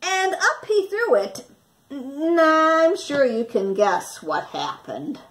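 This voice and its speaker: A woman reading a children's picture book aloud expressively, with dramatic pauses and questions for young listeners, like a teacher. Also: high voice, sing-song, a bit bright, poor audio.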